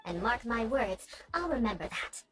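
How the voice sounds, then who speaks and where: Haughty game knight lady, high voice, very lively pitch, vengeful n teasing. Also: moderate pace, rough recording. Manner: haughty, theatrical, formal phrasing. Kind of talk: video game voice acting.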